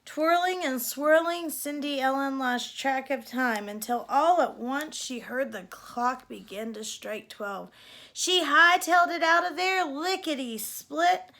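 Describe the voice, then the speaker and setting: A woman reading a children's story aloud as an expressive narrator. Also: high voice, unhurried. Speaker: storybook reader.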